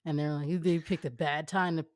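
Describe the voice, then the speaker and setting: A woman's voice: deep voice, fairly even intonation, moderate pace, dry recording. A woman co-hosting a casual fan fiction read-aloud, joking and breaking off into chatty, informal commentary. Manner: informal, joking, goes off on tangents.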